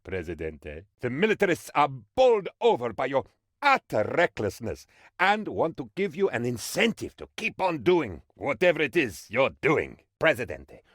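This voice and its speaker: A pompous, naively enthusiastic henchman who adores his dictator. His mid-pitched voice swings theatrically up and down with eager self-importance. It is a dry, studio-close video game performance of a lovable, slow-witted flatterer. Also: dry recording. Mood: self-important. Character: excitable, pompous, dim-witted sycophantic male aide to a dictator. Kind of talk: video game character voice lines.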